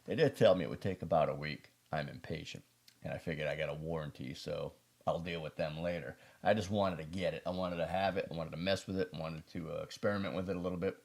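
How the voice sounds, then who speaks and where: Casual male gear reviewer, low-pitched with a creaky edge, folksy and unscripted. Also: fairly even intonation, fast. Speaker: product reviewer.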